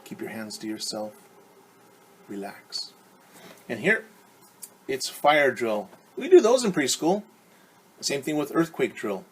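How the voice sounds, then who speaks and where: A man's voice: medium pitch, very animated, slow pace, pauses often, husky. A male preschool teacher reading a picture book aloud and explaining it in simple, instructive words for young children. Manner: simple, instructive phrasing addressed to small children.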